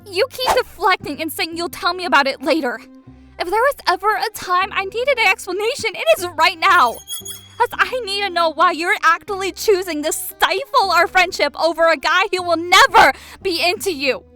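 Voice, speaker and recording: A woman's voice: very high voice, animated, normal speed, bright, forceful tone, soft background music, exaggerated voice. A woman acting a nosy, blunt young best friend in a game roleplay drama, with pointed, dramatic questioning. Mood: exasperated.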